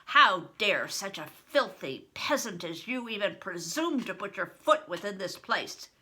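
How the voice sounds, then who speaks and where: A woman's voice: mid-range voice, sing-song, unhurried, bright, forceful tone, slightly rough, heavily acted. An older woman reading aloud as a cruel, contemptuous medieval steward, speaking with haughty scorn. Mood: scornful.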